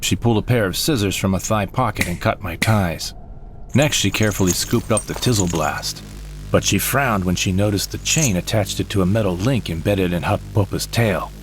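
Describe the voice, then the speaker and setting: Guy playing deep voiced wry sidekick narrator, sci-fi comedy audio drama, clear noise behind him. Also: normal speed, natural voice. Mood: dramatic. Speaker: first-person narrator.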